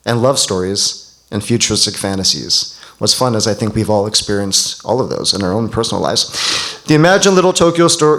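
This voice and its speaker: A man's voice: medium pitch, fairly bright tone. A man emcees a live event from the stage with an ordinary mid-range voice. He talks informally and chattily, joking and engaging the audience as he goes.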